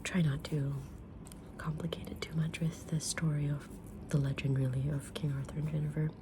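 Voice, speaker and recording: A woman's voice: low-pitched, flat delivery, background noise. Whispering, kindly mysterious witch played by a woman. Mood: calm, mysterious. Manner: slow, gentle, deliberate whispering with pauses. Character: kind, mysterious witch fortune teller, patient and sincere. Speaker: ASMR roleplayer reading tarot cards. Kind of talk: ASMR roleplay, whispered.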